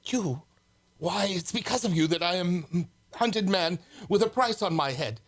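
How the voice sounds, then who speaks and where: A man's voice: sits high, lively intonation, measured pace, a bit bright, exaggerated voice. A man playing an earnest, commanding rebel prince in an amateur radio play, speaking in a declamatory, urgent manner. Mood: resolute.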